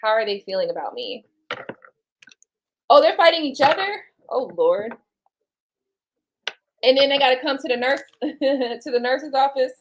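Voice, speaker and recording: A woman's voice: sits high, expressive, measured pace, pauses often, slight background noise, low-quality recording. A woman reading tarot cards on a casual live stream, rambling and chatting to her viewers and to spirit. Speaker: tarot card reader.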